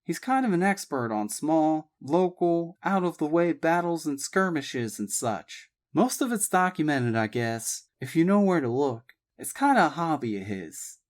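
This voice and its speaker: A woman's voice: low voice, very animated, unhurried, few pauses, darkish tone, voice pushed up. A man voicing a spirited young woman. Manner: emphatic, exclaiming. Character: spirited young Southern woman, outspoken and teasing.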